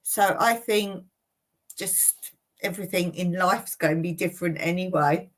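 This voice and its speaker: Slow, chatty woman in her late fifties. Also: fairly low pitch. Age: 50s. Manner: chatty, laughs often between remarks. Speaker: channel host. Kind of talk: casual live Q&A, reading viewer comments aloud. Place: home in rural Cyprus.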